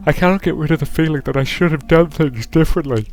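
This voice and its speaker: Man voicing a sorrowful young daughter, raised pitch. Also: fast, faint music behind, lightly acted. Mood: anguished, regretful. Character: grieving young daughter, earnest, sorrowful, loving.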